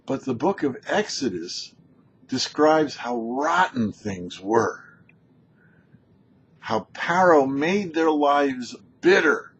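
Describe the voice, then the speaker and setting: Slow, animated male rabbi, muffled recording. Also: fairly high pitch. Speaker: rabbi, show host. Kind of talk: religious teaching on scripture. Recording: dull lo-fi sound with background noise.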